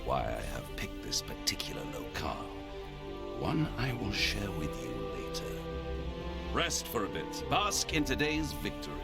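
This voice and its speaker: Casting: principled leader in a game, authoritative and solemn, deep voice over music. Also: male voice, huge pitch swings, creaky, full character voice. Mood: calm, commanding. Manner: measured, authoritative. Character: grave, principled male commander. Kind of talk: solemn video game cutscene speeches.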